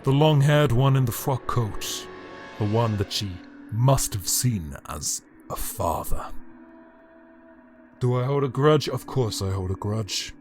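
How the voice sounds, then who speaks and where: A man playing a bitter, power-hungry immortal alien emperor in a dramatic audio drama monologue, brooding and grandiose, with deliberate theatrical pauses. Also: mid-range voice, darkish tone. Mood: bitter, scornful. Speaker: reader of an audio story.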